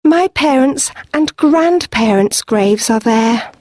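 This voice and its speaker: Woman narrator as elderly lady, high-pitched, fretful, apologetic, storybook character, dry recording. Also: expressive, slow, a little mellow, no room sound, heavily acted. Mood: anxious, apologetic.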